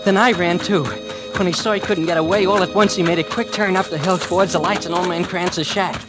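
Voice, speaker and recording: A troubled young man as a male actor plays him in a vintage radio thriller, his voice on the high side with music behind it. He is polite and earnest, with a hesitant delivery that hides a killer. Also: fairly even intonation, fairly quick, close to an everyday voice. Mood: agitated, breathless urgency. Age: young adult. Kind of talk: 1940s-50s radio drama acting and first-person narration. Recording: old radio recording with music. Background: dramatic music.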